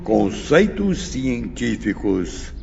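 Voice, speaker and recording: A man playing a solemn, wise spirit teacher who instructs his disciples in a measured, fatherly way, in an audiobook drama. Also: medium pitch, sing-song, creaky, a little mellow, soft background music, heavily acted. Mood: solemn, emphatic. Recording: dull sound, long reverb, background noise.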